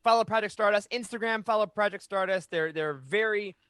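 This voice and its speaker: Male podcast host, thirties, high forceful voice, upbeat chat, close dry mic. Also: a little fast, clean tone, dry recording. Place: small home studio room. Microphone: boom-arm microphone up close.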